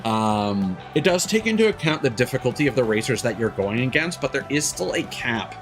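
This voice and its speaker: A man's voice: mid-range voice, big pitch swings, a little fast, music in the background. A man chatting casually as he commentates a video game stream, rambling and often stopping to restart his sentences. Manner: rambling, self-interrupting, frequent stops and restarts. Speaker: live streamer.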